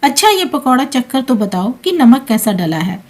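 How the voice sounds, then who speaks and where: A woman voicing a selfless, soft-hearted elderly mother-in-law in a family story, with an accommodating, soothing manner. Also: sits high, big pitch swings, moderate pace. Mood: gentle, accommodating.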